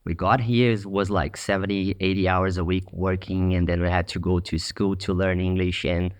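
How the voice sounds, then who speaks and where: A man's voice: sits low, little pitch movement, normal speed. A man from Brazil with a Brazilian Portuguese accent, a business owner telling his story matter-of-factly as an interview guest. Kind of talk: interview conversation.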